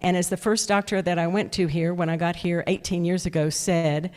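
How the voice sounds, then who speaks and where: A woman's voice: fairly low pitch, steady tone, brisk, darkish tone, clean tone. An older woman speaking at a club meeting, telling her personal story casually and introducing a guest speaker. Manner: chatty storyteller, laughs at her own story. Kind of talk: casual talk with personal anecdotes. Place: indoor meeting room. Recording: long reverberant room sound.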